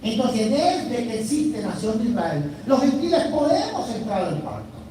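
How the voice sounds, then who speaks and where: A woman speaks firmly and deliberately, reading Bible verses slowly and with weight. She sounds set back from the mic in a very echoey room. There is faint ambience behind her. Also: fairly high pitch, sing-song, recorded from a distance, noisy background. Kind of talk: scripted commentary with scripture reading.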